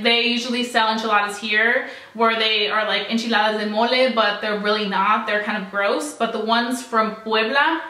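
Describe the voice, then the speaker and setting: A woman's voice: on the high side, bright, forceful tone, roomy sound, low-quality recording. A young woman from the US chatting casually to her viewers in an upbeat vlog, sprinkling her talk with "like" and "so". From United States. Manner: chatty and upbeat, heavy use of "like" and "so". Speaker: beauty vlogger.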